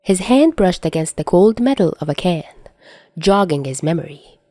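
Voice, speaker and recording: A woman narrates a piece of fiction in a fairly low voice. The delivery is scripted storytelling, recorded dry with no room sound. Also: expressive, normal speed, warm tone, no room sound. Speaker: podfic narrator.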